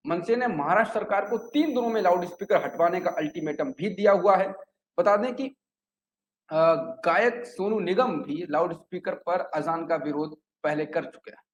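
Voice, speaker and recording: A man's voice: sits high, moderate pace. A man presenting a scripted news report in an explanatory way, occasionally stumbling over words. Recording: echoey room with clear background noise.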